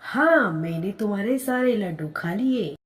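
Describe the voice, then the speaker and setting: A benevolent god of a cartoon fable, voiced by a woman, speaks in solemn, calm pronouncements. The delivery is quiet and unhurried, with a slightly lifted pitch. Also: lightly acted. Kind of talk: Hindi cartoon story dubbing.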